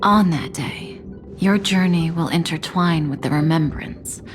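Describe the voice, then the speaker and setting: A woman playing a composed, enigmatic adult woman in a video game, tender yet commanding, with measured, solemn delivery that is hushed at times. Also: deep voice, soft background music. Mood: solemn, knowing.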